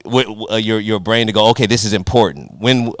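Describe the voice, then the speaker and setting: A man with a low, smooth voice hosts a casual podcast interview in a close, dry sound. He talks in a slangy, conversational way, rephrasing his questions as he goes. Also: fast, no echo. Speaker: podcast host. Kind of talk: casual interview with joking asides.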